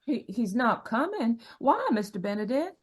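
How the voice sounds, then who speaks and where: A woman acting in a radio mystery as a gentle, reassuring dead wife, soothing and polite. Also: fairly high pitch, very animated, moderate pace, husky. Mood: polite, puzzled.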